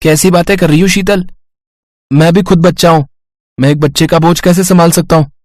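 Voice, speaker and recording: A man acts out a cocky, selfish schoolboy boyfriend in an audio drama. He talks casually, by turns wheedling and dismissive, in a fairly high male voice. The recording is dry. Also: fairly even intonation, brisk, a little mellow, no echo, lightly acted. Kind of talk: dramatized Hindi moral story acting.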